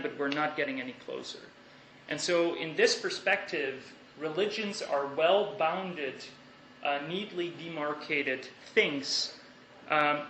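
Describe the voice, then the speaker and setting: A young Dutch man gives a thoughtful academic talk, pausing and restarting as he works through ideas. His voice is bright and fairly high. The sound is roomy, with a faint hiss from a lecture hall. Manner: thoughtful, measured, with hesitations and restarts.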